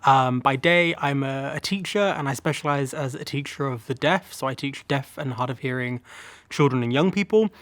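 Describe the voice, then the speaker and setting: A man's voice: medium pitch, moderate pace, fairly bright tone, no echo. Earnest man, dry close sound. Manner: earnest, thoughtful, hedging with "sort of" and "kind of". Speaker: accessibility consultant and teacher of the deaf. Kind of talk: interview answers.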